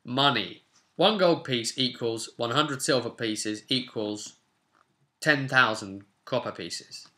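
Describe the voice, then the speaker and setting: Bright-voiced young English man, chatting. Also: mid-range voice, slow pace. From England. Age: 20s. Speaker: host of tabletop roleplay channel. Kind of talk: casual tutorial chat to viewers. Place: small home room, indoors.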